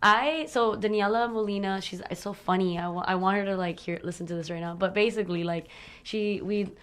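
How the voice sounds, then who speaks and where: A woman's voice: medium pitch, fairly even intonation, brisk, smooth. A young woman from Miami chatting in an interview, bubbly and loose, sprinkling in "like" and laughing often. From Miami, USA. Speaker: nonprofit organizer. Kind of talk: casual interview chat.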